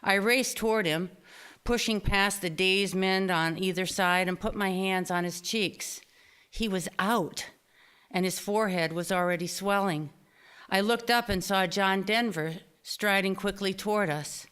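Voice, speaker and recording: A woman reading her own personal essay aloud at a live storytelling event, with expressive, deliberate phrasing. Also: mid-range voice, clear, resonant tone. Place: small theater stage, live reading before an audience.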